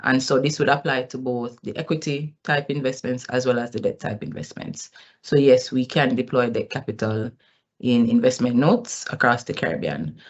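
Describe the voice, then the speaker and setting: Through slightly dull, lo-fi call audio, a company executive speaks at a middling pitch. The delivery is explanatory, with formal business wording, answering questions on an earnings call. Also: normal speed.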